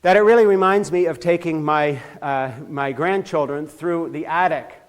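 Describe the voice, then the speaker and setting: An older man from Switzerland lectures in a church with a fairly high voice. He builds from measured remarks to heated, forceful emphasis and often trips over and restarts his phrases. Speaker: speaker giving a church talk. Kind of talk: impassioned lecture.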